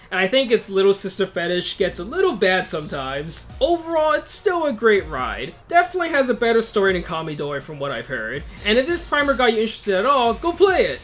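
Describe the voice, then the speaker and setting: Lively casual video reviewer over music. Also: mid-range voice. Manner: informal, opinionated, wry asides. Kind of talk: scripted review narration, casual.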